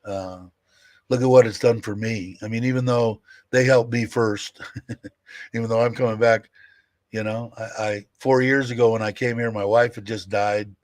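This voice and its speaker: A man's voice: medium pitch, steady tone, normal speed, dry recording. A man in his sixties talking casually on a livestream, chatting candidly and answering viewer comments with many fillers. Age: 60s. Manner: rambling, candid, with fillers and laughs. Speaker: livestream host.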